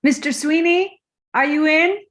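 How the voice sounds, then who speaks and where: Female homicide detective, played by an actress: businesslike, persistent, fairly high voice. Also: measured pace, fairly bright tone. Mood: insistent. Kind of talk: comedy script table read.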